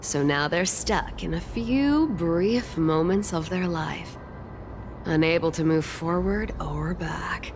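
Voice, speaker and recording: A woman voicing a sly, flippant thief in a video game, talking in a casual, teasing, expressive way. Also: lowish voice, slow. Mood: exasperated. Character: sly, flippant female trickster thief, defensive and sassy. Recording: background music under the voice.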